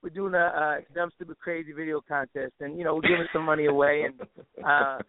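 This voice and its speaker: A man's voice: a little high, steady tone, fairly quick, slight background noise. A man chatting casually as an interview guest, easygoing, slangy and appreciative. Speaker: guest, musician and entertainer. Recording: tinny dry phone line.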